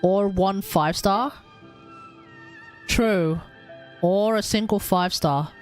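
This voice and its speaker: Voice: mid-range voice, drawn-out words, faint music behind. A live streamer giving casual gaming commentary, thinking aloud and talking to the chat.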